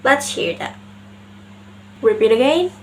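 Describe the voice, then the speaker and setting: A young woman with an Indonesian accent, a native Indonesian speaker, explaining her pronunciation mistakes slowly as she searches for words. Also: sits high, lively intonation, a little mellow, a little hiss or hum. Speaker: student. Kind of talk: class presentation on pronunciation.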